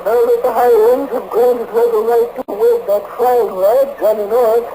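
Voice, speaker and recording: An actor playing a gruff, fond old hermit with folksy, old-fashioned lilting speech in a vintage radio drama. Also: male voice, extremely high pitch, little pitch movement, normal speed. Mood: wistful. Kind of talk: 1940s radio acting. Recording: noisy old radio serial recording.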